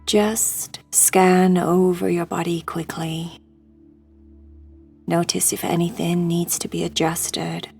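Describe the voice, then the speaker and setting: A woman guiding a sleep meditation in a hushed, soothing, breathy voice that often nears a whisper. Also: fairly low pitch, little pitch movement, slow, soft background music. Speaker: meditation guide.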